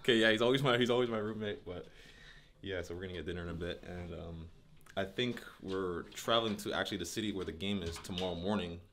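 A chatty man in his thirties films himself on a handheld camera during a team road trip, tossing out quick updates to his viewers. He laughs easily and keeps the tone loose and casual. The camera mic picks up plenty of noise around him. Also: low voice, a bit bright. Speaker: basketball player vlogging a team road trip. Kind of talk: casual travel vlog. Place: moving between cars, a gas station and a hotel. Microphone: handheld camera at arm's length.

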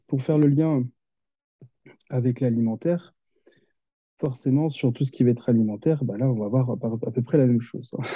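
Man explaining calmly on a muffled call. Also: medium pitch, husky, no echo. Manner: measured, explaining with examples. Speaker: occupational therapist guest. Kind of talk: explanatory interview talk. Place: home office with window. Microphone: headset on video call. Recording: muffled video call audio.

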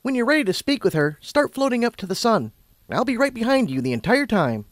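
A man plays a wise, sympathetic unicorn mare from a cartoon storybook. The pitch is raised well above a man's talk. The manner is calm, patient and knowing, and the sound is dry. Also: a little fast, no room sound. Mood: encouraging.

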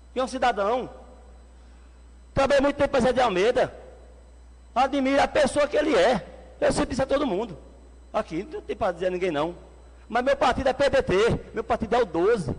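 Man, forties, Pernambuco accent, heated councilman speech, very high strained voice, long hall reverb. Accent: Pernambuco Brazilian Portuguese. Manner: angry, shouting, waving his arms. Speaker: city councilman. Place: council chamber indoors. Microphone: desk microphone on stand.

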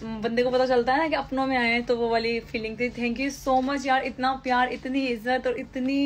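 A woman's voice: sits high, little pitch movement, moderate pace, a little hiss or hum. A young woman talking to her phone camera in a casual vlog, chatty and laughing often as she thanks her followers. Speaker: influencer vlogger. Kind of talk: casual selfie vlog chat. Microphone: phone held at arm's length.

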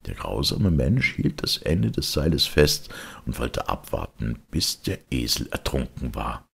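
A man narrating a children's storybook as an expressive audiobook reader. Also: a bass voice, measured pace, creaky, husky.

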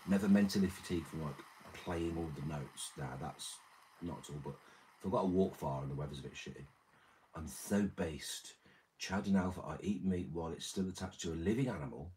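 A man in his thirties with a very deep, slightly damaged voice, chatting casually and crudely while reading his livestream chat in a small room. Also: fairly quick, a little mellow, a little hiss or hum, rough recording. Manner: rambling, crude, jokey. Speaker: livestream host. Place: small room with red curtain and red light.

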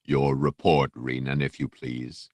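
Menacing dark lord, played by a male narrator: extremely low, silky, formal and measured, very dry audiobook sound. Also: flat delivery, no room sound. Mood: cold, dismissive. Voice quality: deep, rich, silky, mellifluous. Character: menacing, coldly polite armored dark lord. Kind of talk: audiobook character voice.

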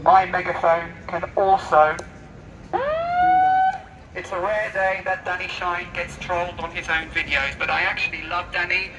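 A man with a high voice debates through a megaphone, recorded at a distance on a noisy city street. He declaims and teases, hammering key words by repetition. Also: little pitch movement, a little slow. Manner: declamatory, teasing, repeats words for emphasis. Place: outdoors on a busy city street at night. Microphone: far camera.

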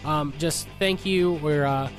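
A man's voice: on the high side, slow pace, faint music behind. A man from upstate New York co-hosting a podcast in casual chat, sounding stuffy and congested. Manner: easygoing, chatty with fillers. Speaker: podcast co-host.

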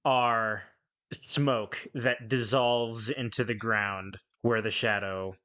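A man's voice: on the low side, steady tone, slow, bright, forceful tone. A man narrating a tabletop game scene dramatically, as game master.

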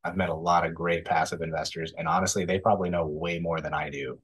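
A man in his thirties, a podcast guest, giving measured, thoughtful answers with frequent ums over a video call. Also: low-pitched, little pitch movement, fairly bright tone, breathy edge. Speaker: podcast guest, real estate investor. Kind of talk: casual interview answers. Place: indoor room, video call.

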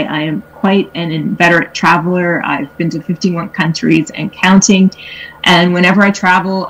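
A woman's voice: on the low side, fairly bright tone, breathy edge, rough recording. A middle-aged woman, a cookbook author, chatting about recipes from her home kitchen on a video call, with frequent fillers. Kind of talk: conversational cooking demo. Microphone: webcam on a video call.

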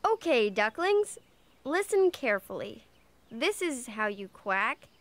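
A performer voicing a gentle, helpful young boy bear cub in a children's cartoon, earnest and reassuring. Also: high voice, big pitch swings, takes it slowly, no echo, exaggerated voice.

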